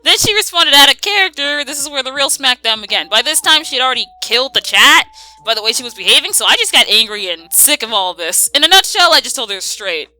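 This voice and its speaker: A woman chatting casually as she answers viewer questions, animated and slangy, given to exclaiming. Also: sits high, fast talker, low-quality recording. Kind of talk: casual chat Q&A.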